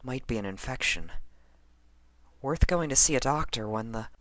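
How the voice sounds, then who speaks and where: Man voicing an anxious young mare, pitched up. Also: expressive, moderate pace, fairly bright tone, no room sound, natural voice. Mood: anxious self-reassurance. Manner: hesitant, self-reassuring muttering. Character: anxious young female unicorn musician, rattled but self-soothing.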